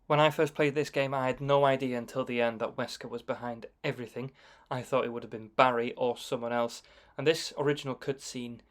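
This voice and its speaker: A man's voice: mid-range voice, fairly even intonation, fairly quick. A man with a middle-range voice narrates as a video game commentator. His delivery is conversational and informative, explaining things as he goes.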